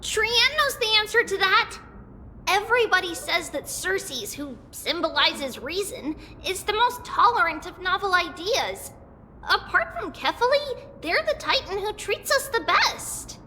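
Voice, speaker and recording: Very high, childlike, cheerful little girl. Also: big pitch swings, measured pace, sharp tone, big-room sound, slight background noise, exaggerated voice. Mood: earnest. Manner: childish, earnest, refers to self by name. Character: small childlike girl, cheerful, earnest, speaks in third person. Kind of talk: anime-style game voice acting.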